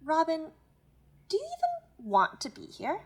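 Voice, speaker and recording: An actress playing a patronizing self-help mentor who presses with controlling, condescending insistence in an audio drama. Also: female voice, extremely high pitch, huge pitch swings, unhurried, heavily acted. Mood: pressing, insistent. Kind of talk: naturalistic audio drama acting.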